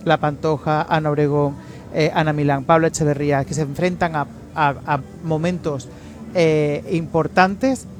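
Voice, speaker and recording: A man's voice: on the high side, normal speed. Effusive Canarian man, faint room noise. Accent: Canarian Spanish, somewhat softened. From Canary Islands. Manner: effusive, chatty, expressive. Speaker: makeup artist guest. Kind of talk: radio interview.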